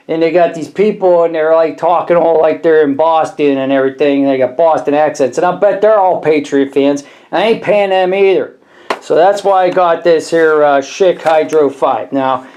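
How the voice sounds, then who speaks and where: A man's voice: a little high, darkish tone. A man with a Pittsburgh accent giving a rambling, folksy comic rant to camera about a razor, full of grumbling slang. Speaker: vlogger doing product review.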